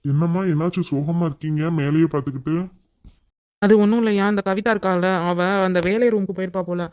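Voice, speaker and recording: A woman doing a worried village mother, low and muffled. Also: steady tone, a little fast, mellow, no room sound, natural voice. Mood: pleading.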